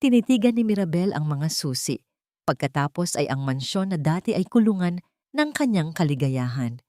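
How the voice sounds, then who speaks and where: A woman narrating a scripted dramatic story in a measured, solemn storytelling manner. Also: low-pitched, very animated, mellow, no echo, clean studio sound. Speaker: narrator of Tagalog love stories.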